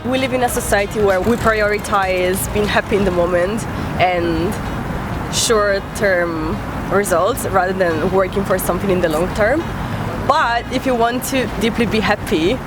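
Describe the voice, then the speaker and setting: A woman's voice: medium pitch, moderate pace, poor audio. A young woman from Italy answering a street interview in a city park, speaking in a thoughtful, earnest way. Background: music.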